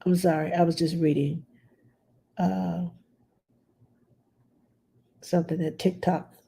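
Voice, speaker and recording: Woman, middle-aged, stuffed-up nose, husky, casual live Q&A, plain-spoken nanny. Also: on the low side, flat delivery, takes it slowly, mellow. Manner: chatty, blunt and plain-spoken. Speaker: nanny answering viewer questions.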